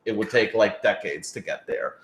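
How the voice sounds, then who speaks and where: A man's voice: fairly low pitch, lively intonation, normal speed, a bit bright. A man answering fan questions as a radio drama creator in an informal panel, thinking aloud and rephrasing as he goes. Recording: roomy, echoey room sound.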